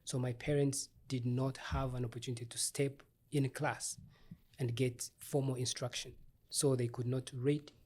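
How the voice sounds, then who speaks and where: Ugandan-born man, measured interview guest. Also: mid-range voice, little pitch movement, a little mellow, husky. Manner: thoughtful, measured, with small restarts. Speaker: guest, obstetrician-gynecologist. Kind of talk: interview answers, storytelling.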